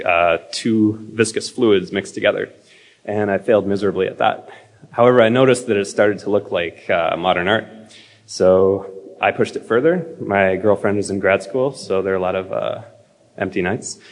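A man's voice: on the low side, normal speed. A man accepting an award, hesitant and full of ums and uhs. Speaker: award winner. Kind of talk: acceptance speech.

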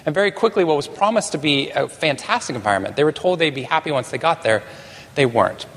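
Animated Texan man speaking in a reverberant hall. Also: fairly high pitch, fast talker, slight background noise. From Texas. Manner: animated, self-deprecating humor with a few restarts. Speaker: conference speaker. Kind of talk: keynote talk to a live audience. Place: large conference hall.

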